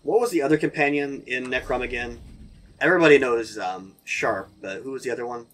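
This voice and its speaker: A man hosting a game playthrough, chatting casually and rambling, his voice hoarse from a sore throat. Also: medium pitch, fairly quick, fairly bright tone. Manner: rambling, chatty, laughs often. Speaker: gaming video host. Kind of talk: casual let's-play commentary.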